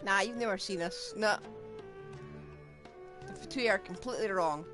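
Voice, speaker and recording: A woman's voice: mid-range voice, moderate pace. A woman game streamer giving casual live reactions during a playthrough. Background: game music.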